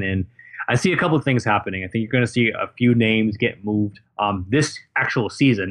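A man co-hosting a sports podcast, talking through his analysis in a casual, conversational way. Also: medium pitch. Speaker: podcast co-host.

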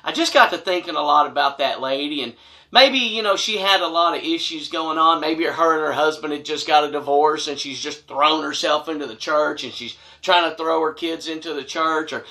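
A man's voice: fairly high pitch, normal speed, vocal fry, sharp tone. A middle-aged American man telling a personal story to camera in a casual, folksy and emphatic way. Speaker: storytelling vlogger. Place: small wood-paneled room indoors.

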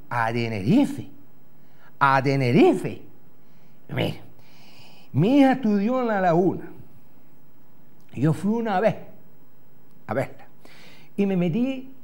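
A man's voice: high voice, a little mellow. A middle-aged man with a Canarian Spanish accent telling a rambling comic story as a TV host, swearing and doing the other people's voices, on a lapel mic in a studio. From Gran Canaria. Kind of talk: comic monologue in character. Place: TV studio in front of a backdrop.

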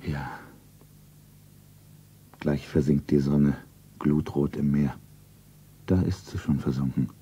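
A man playing a wealthy lover in a stylised 1970s radio play, tender and solemn, with an intimate husky delivery. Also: very deep voice, steady tone, slow, long pauses, dark tone, full character voice.

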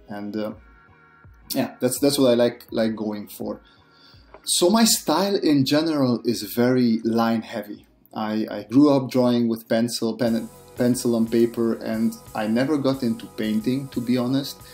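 Brief: male artist in his thirties, English, mellow gravelly voice, easygoing voice-over with soft music behind. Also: medium pitch. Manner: relaxed, self-deprecating, many fillers and restarts. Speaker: artist and channel host. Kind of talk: casual voice-over commentary on a drawing process.

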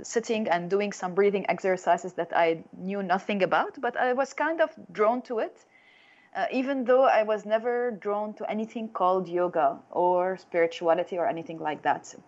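A woman's voice: medium pitch, moderate pace, dull audio. A woman in her thirties from Lebanon, with a Lebanese accent, telling her own story as an interview guest. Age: 30s.

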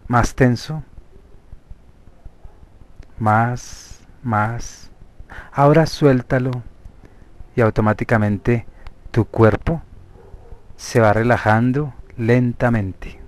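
A man with a Colombian Spanish accent leading a guided hypnosis meditation as a therapist, speaking deliberately in short, separated phrases. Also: medium pitch, fairly even intonation. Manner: slow, deliberate, pausing between short phrases.

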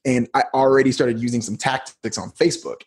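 Male guest, 30s, husky, casual slang-heavy storyteller. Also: mid-range voice, steady tone, brisk. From United States. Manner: laid-back, slangy storytelling. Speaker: podcast guest. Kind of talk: casual interview talk.

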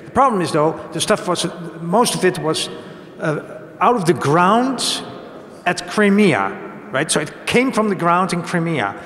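A man's voice: sits high, big pitch swings, measured pace, a bit bright. Dutch-accented man explaining in an echoey hall. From Netherlands. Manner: explains with many hesitations and tag questions, gestures a lot. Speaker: guest. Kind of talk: onstage talk show conversation. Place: small gallery stage before an audience. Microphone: handheld mic. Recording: echoey hall with long reverb.

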